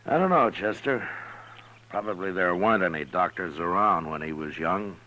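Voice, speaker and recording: An actor playing a calm, fair-minded frontier marshal in a 1950s radio western, speaking in a measured, plain way. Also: male voice, low-pitched, highs cut off. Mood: somber, reflective. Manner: unhurried, plain-spoken, measured. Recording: old noisy radio recording.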